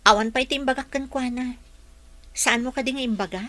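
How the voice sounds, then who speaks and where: Frank young woman, concerned friend, highish bright voice, radio drama. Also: moderate pace, dry recording. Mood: reproachful.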